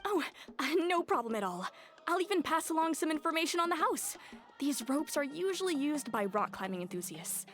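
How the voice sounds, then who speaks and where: High-voiced eager shopkeeper. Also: female voice, very animated, a little fast, a bit bright. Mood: helpful. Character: eager, helpful young female shopkeeper, easily flustered. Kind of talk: video game voice acting.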